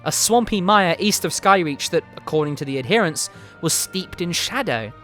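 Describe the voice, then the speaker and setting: A man reading a fantasy story aloud as narrator, with dramatic, deliberate phrasing. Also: on the high side, expressive, fairly bright tone. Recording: music behind the voice. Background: background music.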